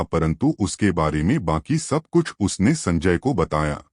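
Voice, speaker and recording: A man's voice: sits low, darkish tone, no echo, studio quality. A man narrating a scripted suspense story as a storyteller.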